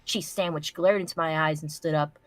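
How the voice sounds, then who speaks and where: A woman's voice: low voice, fairly even intonation, brisk, no echo. A woman giving a playful, theatrical amateur live reading of a story, close to the microphone. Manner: theatrical, foul-mouthed, playful.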